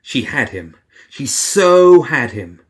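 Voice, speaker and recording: Male narrator for fiction audiobook, mid-pitched, expressive read that voices characters' inner thoughts. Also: slow, soft background music.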